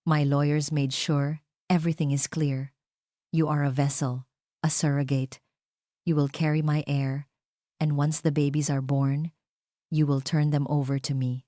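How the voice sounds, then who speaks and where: A woman's voice: deep voice, flat delivery, measured pace, warm tone, dry recording, studio quality. A woman voicing a cold, imperious, emotionless rich male CEO in a dramatic story. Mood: cold, commanding.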